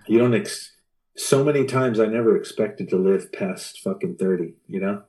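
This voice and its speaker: A man's voice: fairly low pitch, normal speed, dark tone, low-quality recording. A man in his thirties in casual conversation, blunt and sweary, often repeating his phrases. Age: 30s.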